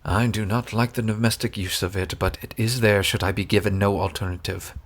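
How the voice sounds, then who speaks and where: An actor playing a stern, protective duke with a formal, measured manner in a period audio drama. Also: male voice, on the low side, fairly even intonation, lightly acted. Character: stern, protective noble duke, courtly host.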